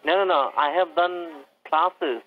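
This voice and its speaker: A man's voice: fairly high pitch, measured pace. A man calling as a fake tech-support agent, hesitant and full of fillers, over a phone line. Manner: hesitant, many fillers, asks for repeats. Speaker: scam caller. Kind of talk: scripted tech-support pitch.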